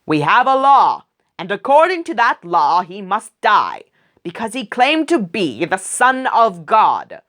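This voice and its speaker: A woman voicing an angry crowd of male leaders in a read-aloud scripture story, shouting insistently. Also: medium pitch, huge pitch swings, a little slow, pitched above the performer's own voice.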